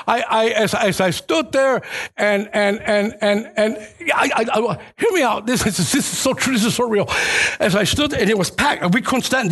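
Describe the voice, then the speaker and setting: High-pitched man preaching with emphatic repetition. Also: fairly bright tone, slightly rough. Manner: emphatic, repeats phrases for effect; conversational storytelling. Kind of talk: church sermon with personal testimony.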